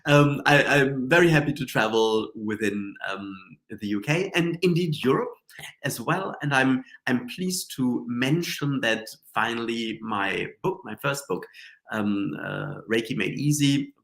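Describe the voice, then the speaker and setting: A man's voice: mid-range voice, a little slow, fairly bright tone, low-quality recording. Nasal man with a cold, chatty. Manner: chatty, rambling, many fillers and restarts. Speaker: Reiki teacher and show host. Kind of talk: casual live-stream talk.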